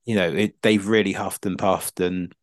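A man talks as a casual football co-host, wandering discursively through his points. His pitch is on the low side. The recording is dry and close, with no room sound. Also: steady tone, normal speed, dry recording. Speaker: podcast co-host and pundit.

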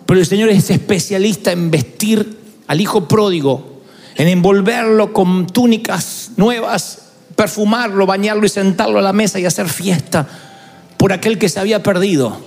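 A man in his fifties preaching a fervent sermon as pastor, building up to shouts. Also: sits high, normal speed, vocal fry, faint music behind. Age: 50s. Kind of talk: impassioned sermon.

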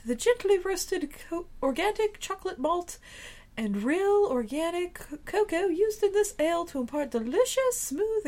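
A woman's voice: very high-pitched, darkish tone. A woman co-hosting a podcast, chatting in a bubbly way, giggly and full of exclamations. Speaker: podcast co-host. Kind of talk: casual chat.

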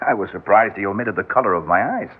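An actor playing a harried, caring husband on edge, coaxing and wry, in old radio drama style. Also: male voice, fairly low pitch, fast talker, breathy edge. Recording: tinny, band-limited old radio recording.

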